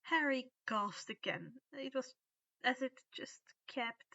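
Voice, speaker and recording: A woman's voice: high-pitched, expressive, slow pace, vocal fry, pushed and bright, muffled recording. A woman narrating fan fiction as an amateur audiobook reader. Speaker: story narrator.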